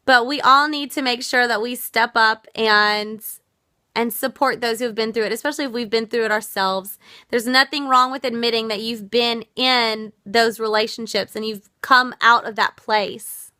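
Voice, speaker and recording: A woman's voice: on the high side, steady tone, moderate pace, sharp tone, dry recording, clean studio sound. A woman from the United States hosting a casual live stream, earnest and reflective as she answers viewer comments, her voice worn out. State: losing her voice. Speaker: livestream host.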